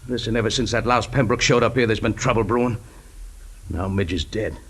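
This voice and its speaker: An actor playing a bitter, hot-tempered stunt pilot in a 1940s radio drama, blunt and belligerent. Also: male voice, on the low side, a little fast, breathy edge. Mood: angry.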